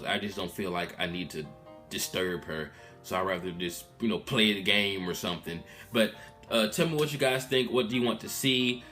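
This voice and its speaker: Young man in his twenties, lowish voice, subdued and candid, rambling casually to his viewers. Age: 20s. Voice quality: loud voice. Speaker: vlogger. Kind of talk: casual update vlog. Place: room at his mom's house.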